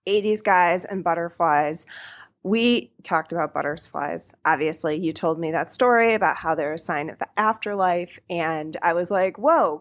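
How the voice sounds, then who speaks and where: A woman co-hosting a podcast, telling a story in casual chat, acting out what people said, recorded in a hotel room on a tiny clip-on mic. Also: on the low side. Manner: animated storyteller, acts out quotes. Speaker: podcast co-host. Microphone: tiny clip-on mic rubber-banded to a bigger mic.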